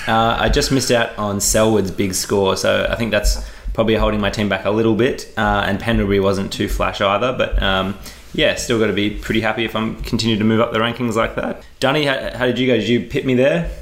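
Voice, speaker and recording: An Australian man with a hoarse voice, a podcast guest talking casually with hesitant fillers. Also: lowish voice, fairly quick, echoey room. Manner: relaxed, hesitant, full of fillers.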